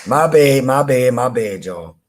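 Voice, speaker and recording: A man with a deep voice, hosting a live chat room and teasing rivals in loose, playful banter with frequent laughter. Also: lively intonation, unhurried. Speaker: live audio-room host. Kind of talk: casual trash-talking chat to listeners.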